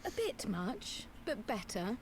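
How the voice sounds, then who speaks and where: A woman's voice: on the high side, sing-song, slow, slight background noise, full character voice. A woman playing a small, teasing, self-assured fantasy scholar in a video game, with wry, precise and formal phrasing.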